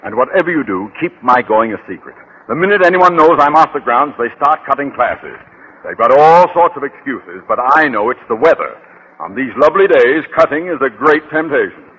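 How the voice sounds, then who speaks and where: Pompous, blustering school principal. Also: male voice, medium pitch, big pitch swings, a little fast, a bit bright, rough recording. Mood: pompous, indignant. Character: pompous, blustering middle-aged male school principal, irritable and sarcastic. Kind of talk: 1950s radio sitcom acting. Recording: old radio recording, clear hiss.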